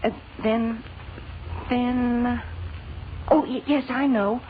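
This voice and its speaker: A woman's voice: on the high side, steady tone, takes it slowly. A woman playing a timid, fat little cook in an old radio serial, stammering and speaking in singsong rhyme. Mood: flustered. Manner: flustered, stammering, singsong rhyming. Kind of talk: 1940s radio acting. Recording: tinny, noisy old radio recording.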